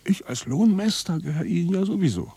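A very husky, breathy male farmer in a naturalistic radio drama. He has a dark, mellow tone and talks bluntly and bitterly, with a sardonic, evasive edge. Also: high-pitched, sing-song, fairly quick, no room sound, heavily acted. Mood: bitter, indignant. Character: bitter, evasive male contract calf fattener who knows the trade. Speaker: contract livestock farmer.

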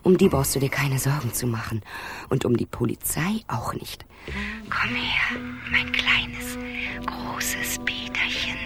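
An actress playing a scheming, greedy woman who bosses and coaxes her lover in hushed, breathy tones, in a radio crime drama. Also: on the high side, takes it slowly, over loud music. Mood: scornful, then coaxing, seductive. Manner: bossy, coaxing, hushed and breathy in places.

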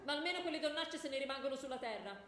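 A woman's voice: sits high, expressive, racing, a crowd in the background. A woman playing a jealous, scornful queen of the gods, scolding her husband sarcastically in a theatrical radio comedy. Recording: echoey hall with faint noise.

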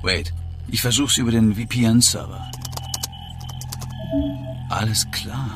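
An actor playing a terse investigator who tracks hackers online, in radio play dialogue. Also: male voice, on the low side, takes it slowly. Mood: focused. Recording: music over the voice.

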